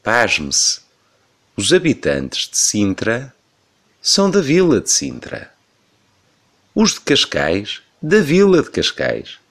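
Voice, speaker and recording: A man's voice: medium pitch, huge pitch swings, slow, pauses often, a bit bright. A man in his thirties with a European Portuguese accent, giving an emphatic, rhetorical opinion commentary on the radio.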